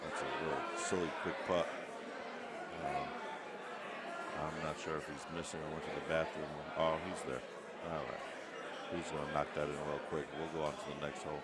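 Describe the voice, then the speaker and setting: Relaxed amateur commentator, a man with a deep voice, self-deprecating, live over a noisy crowd. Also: normal speed, pauses often. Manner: relaxed, self-deprecating, frequent restarts and fillers. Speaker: volunteer commentator at a tournament. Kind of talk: casual live-stream commentary. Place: busy tournament venue full of players. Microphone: on camera at the event. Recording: loud crowd chatter behind.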